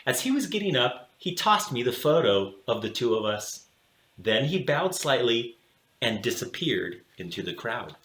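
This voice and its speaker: A man hosting a children's read-aloud, narrating a storybook in a dramatic, deliberate way, in a roomy space. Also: medium pitch, very animated, a bit bright.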